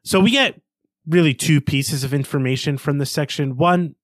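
A man co-hosting a book podcast, talking through a chapter in a relaxed, chatty way with many fillers. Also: a little high, moderate pace, no echo, clean studio sound. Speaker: podcast co-host. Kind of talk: casual book discussion.

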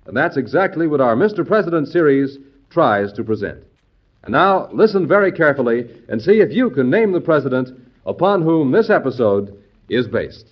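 An American man delivers scripted old-time radio narration as an announcer. His medium-pitched voice is lively and varied in melody. The recording is dull, like an aged broadcast.

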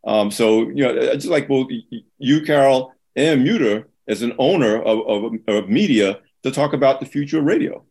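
A man with a deep voice moderating a panel discussion, speaking earnestly and often restarting his sentences. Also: moderate pace, vocal fry, a little mellow, breathy edge.

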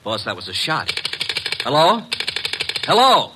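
An actor playing a brash, quick-witted detective's assistant, breezy and animated, in 1940s radio style. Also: male voice, fairly high pitch. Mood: urgent. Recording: faintly noisy old radio recording.

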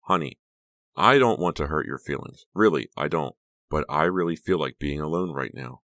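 A man voicing a shaken, guarded high-school girl in an audiobook, hesitant and strained as if holding back feeling. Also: animated, moderate pace, fairly bright tone, no echo, studio quality, heavily acted. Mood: weary. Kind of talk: audiobook character dialogue.

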